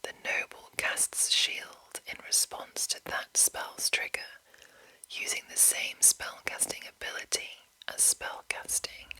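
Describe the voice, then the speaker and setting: A woman hosting an ASMR reading, whispering in a hushed, deliberate way and lingering over short phrases. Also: low-quality recording. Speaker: ASMR host. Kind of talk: whispered ASMR reading of a game manual with casual commentary.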